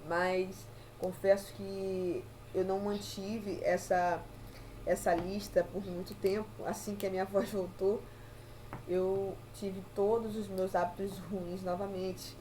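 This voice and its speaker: A woman's voice: mid-range voice, warm tone, a little hiss or hum, rough recording. A young woman talking to her viewers in a casual, reflective monologue, chatty and often adding tag questions. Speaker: vlogger.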